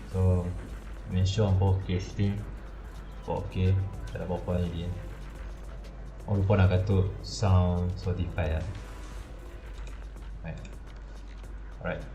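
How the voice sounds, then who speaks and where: A man with a northern Malaysian accent chatting casually on a game live stream, rambling and repeating himself with English phrases mixed in. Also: sits low. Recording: muffled, roomy mic under loud music. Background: music.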